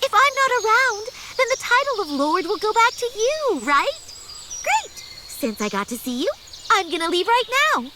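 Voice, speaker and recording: A woman's voice: very high voice, normal speed, heavy background noise. A woman playing a headstrong young noble girl, stubborn and devoted, in an anime-style game. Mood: playful, stubborn. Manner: animated, stubborn, emphatic.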